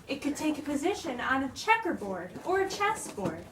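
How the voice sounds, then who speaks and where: A woman's voice: sits high, sing-song, moderate pace, a bit bright. A woman narrating a children's storybook aloud as a dramatic reading. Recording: echoey room, distant mic, noisy.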